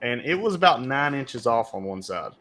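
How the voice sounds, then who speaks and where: A young man co-hosting a casual podcast from home, talking in a loud voice that carries and telling stories in a chatty way. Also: mid-range voice, very animated, moderate pace, a little hiss or hum. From United States. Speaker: podcast co-host. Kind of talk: casual chat. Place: home, remote recording. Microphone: home podcast mic.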